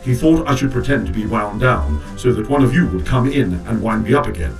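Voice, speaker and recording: A man's voice: medium pitch, big pitch swings, brisk, music in the background, natural voice. An actor playing a loyal clockwork mechanical man, with formal, precise, unemotional speech in an audio drama. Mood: formal, measured.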